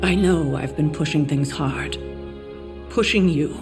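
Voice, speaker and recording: A woman's voice: low-pitched, a little slow. An actress playing a composed, protective older woman who leads and mentors a team in a video game. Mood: measured, concerned. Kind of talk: video game cutscene acting. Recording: music behind.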